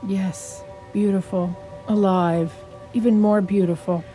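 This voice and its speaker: A woman's voice: medium pitch, fairly even intonation, slow pace, dark tone, soft background music, close to an everyday voice. A woman in a radio drama playing an anguished, skeptical male asylum doctor, with a dramatic, distraught delivery. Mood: stunned longing.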